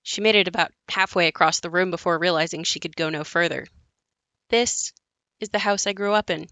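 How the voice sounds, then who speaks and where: A woman's voice: on the low side, a little fast, a bit bright, no echo. A woman playing a wry grown daughter narrating memories of her crumbling childhood home in an audio play, with a reflective, understated storytelling delivery. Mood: wistful. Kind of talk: scripted monologue in an audio play.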